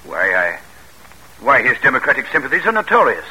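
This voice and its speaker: Proud courtly general, animated delivery, forceful husky tone, formal theatrical speech, vintage radio drama. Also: male voice, medium pitch, brisk, full character voice, low-quality recording. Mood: flustered. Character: proud, easily provoked gentleman general. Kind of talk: 1940s radio acting. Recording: vintage radio broadcast, rough and worn.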